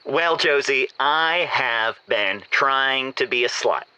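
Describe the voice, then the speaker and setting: Man playing a bright-voiced, lovesick theater romantic. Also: medium pitch, lively intonation, slow pace, clear, resonant tone, full character voice, low-quality recording. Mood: lovelorn, dramatic. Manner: theatrical, emphatic, repeats words for effect. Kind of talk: improvised comedy call-in show acting.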